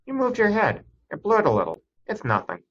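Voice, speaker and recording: A man voicing a calm, dismissive old-school doctor with a soothing, condescending manner in a story reading. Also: sits high, fairly quick, slightly rough, highs cut off, pitched above the performer's own voice, heavily acted. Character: calm, dismissive older male doctor, patronizing.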